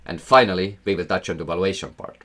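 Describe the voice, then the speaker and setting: A man's voice: sits low, fast talker, fairly bright tone. A man in his thirties with a Finnish accent, hosting an investing channel and narrating a scripted explainer with enthusiastic emphasis and wry asides.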